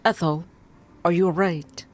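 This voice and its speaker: A woman's voice: on the low side, fairly even intonation, unhurried, lightly acted. A woman voicing a friendly male neighbour in a visual novel, speaking politely and conversationally. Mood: friendly concern. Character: friendly, chatty male neighbour out walking his dog.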